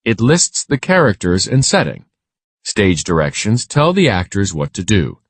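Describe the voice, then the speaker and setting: Low-pitched man with very lively intonation. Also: a little slow, slightly rough, no room sound. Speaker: narrator of a children's reading lesson. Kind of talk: scripted read-aloud lesson narration.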